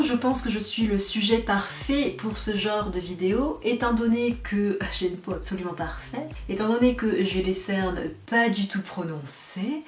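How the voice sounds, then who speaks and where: A young woman giving a casual makeup tutorial, chatty and self-mocking, with frequent fillers. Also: medium pitch. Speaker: beauty vlogger. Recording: echoey room, voice a bit far from mic, faint music.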